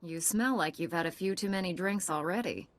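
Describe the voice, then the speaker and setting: An actress playing a composed, confident young Jedi knight in a video game, speaking with assurance. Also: female voice, fairly low pitch, fairly quick, close to an everyday voice. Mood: composed confidence. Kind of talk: video game dialogue acting.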